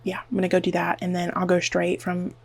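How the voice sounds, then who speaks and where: A woman's voice: on the low side, monotone, fairly quick, creaky, husky. A woman with a Southern US accent chatting to her camera at home in a rambling, nervous, self-mocking way, with lots of "like". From American South. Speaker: beauty vlogger. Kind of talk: rambling casual vlog chat to camera. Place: at home, self-filmed while dyeing hair.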